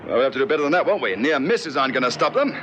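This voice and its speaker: An actor playing a tough police sergeant in an old radio drama, giving brisk, authoritative orders. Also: male voice, medium pitch, animated, natural voice. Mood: grimly determined. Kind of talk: 1960s radio drama acting. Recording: dull, noisy old radio recording in car.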